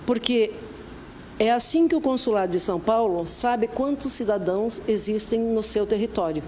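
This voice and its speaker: A woman answers interview questions in a measured, explanatory way. She spells things out slowly and patiently. Faint noise sits under her voice. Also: fairly high pitch, animated, mellow. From Brazil. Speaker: honorary vice-consul, guest.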